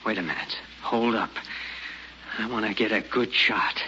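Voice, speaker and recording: Gruff settler dad, very sharp forceful voice, tense, commanding, old radio drama, dull hissy recording. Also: male voice, lowish voice, moderate pace. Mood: tense, wary. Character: gruff, trigger-eager frontier father defending his homestead.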